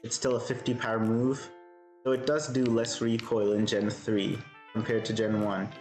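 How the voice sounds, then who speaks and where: A man live-streaming a game, with a soothing voice, thinking aloud in a relaxed way and answering chat. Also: fairly low pitch, moderate pace, roomy sound, faint music behind.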